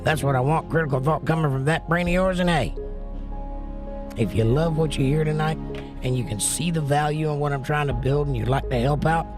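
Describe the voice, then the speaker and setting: An American man hosting a casual livestream and reading out viewer comments, hoarse from laryngitis, folksy and joking with preachy asides. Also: on the high side, a little fast, music in the background. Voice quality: hoarse, strained. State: laryngitis, losing his voice. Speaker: livestream host.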